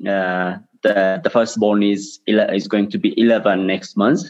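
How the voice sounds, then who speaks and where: A man in his thirties with an Ethiopian accent, answering personal interview questions haltingly, with frequent pauses. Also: on the low side, flat delivery, darkish tone. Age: 30s. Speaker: interview guest, charity director. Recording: dull lo-fi remote call.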